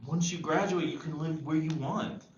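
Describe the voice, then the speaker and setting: A man's voice: fairly high pitch, fairly even intonation, normal speed, natural voice. Man reading earnest teenage boy, echoey room. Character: earnest, stressed, idealistic male high school senior. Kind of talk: screenplay table read. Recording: echoey, dull, faintly noisy room recording.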